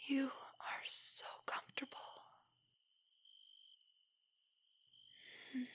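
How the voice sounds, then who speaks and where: A young woman playing a nervous, nerdy, affectionate girlfriend in an intimate roleplay audio, speaking softly and breathily in a giggly, rambling way. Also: sits high. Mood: sleepy, affectionate. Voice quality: breathy, often near a whisper. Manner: rambling, giggly, hesitant, explaining trivia. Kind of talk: roleplay audio, intimate soft monologue to a listener.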